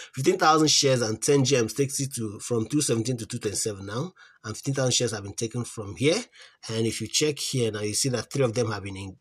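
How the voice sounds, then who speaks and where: Husky man thinking aloud over an app. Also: mid-range voice. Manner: thinks aloud, trailing off and restarting while searching menus; earnest advice with repeated pleas. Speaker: crypto app tutorial host. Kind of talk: casual screen-recorded walkthrough. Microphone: voice-over on a phone screen recording.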